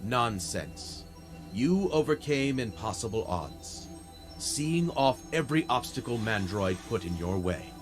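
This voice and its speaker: A man's voice: fairly low pitch, big pitch swings, measured pace, over music. A man playing a noble, grave robot leader in a video game cutscene, speaking in formal, stately oratory. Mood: insistent.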